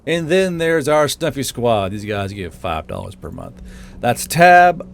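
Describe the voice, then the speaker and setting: A man's voice: mid-range voice, wildly swooping pitch, measured pace, gravelly, a little hiss or hum. A man narrating a fantasy audiobook as the channel's host, in an informal storytelling style. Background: music.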